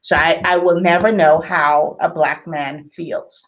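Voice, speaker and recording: A woman from the Bahamas co-hosting a marriage talk show, speaking thoughtfully and hesitantly with many pauses and fillers. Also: deep voice, fairly even intonation, dull audio. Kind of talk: conversational discussion.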